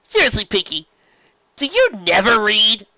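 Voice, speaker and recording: A woman playing a cocky, boastful young female pegasus pony in a cartoon fan story, loud and scornful. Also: mid-range voice, huge pitch swings, unhurried, no echo, dull audio, voice pushed up, exaggerated voice. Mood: smug.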